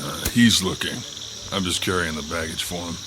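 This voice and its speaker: Actor as a deep, laid-back big sidekick. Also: male voice, steady tone, moderate pace, noisy background, close to an everyday voice. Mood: wry, easygoing. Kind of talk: audio drama acting.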